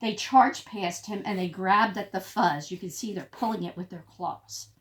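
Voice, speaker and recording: A woman reading a picture book aloud to young children as a teacher, expressive and pausing to explain things. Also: mid-range voice. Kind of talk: animated read-aloud storytelling.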